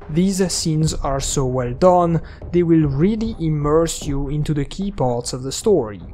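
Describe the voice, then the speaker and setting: A man delivering a scripted video breakdown as narrator, in a measured, explanatory way. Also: on the high side, dark tone, a little hiss or hum. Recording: music under the voice. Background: video game soundtrack music.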